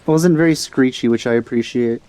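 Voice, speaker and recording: A man giving casual, low-key commentary as he plays through a video game. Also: brisk, warm tone. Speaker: let's player.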